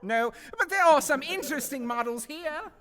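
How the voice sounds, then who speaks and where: A woman's voice: high-pitched, expressive, normal speed, a bit bright. A woman voicing a friendly, naive artificial man, a talking time machine, in a comedy radio drama, with a polite, eager manner.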